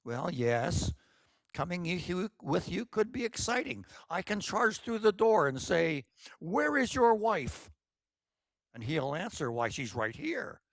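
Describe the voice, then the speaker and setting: A man's voice: sits high, big pitch swings, slow, no room sound, voice pushed up, heavily acted. A man voicing a bossy, scheming older woman who talks in a pushy, dramatic way.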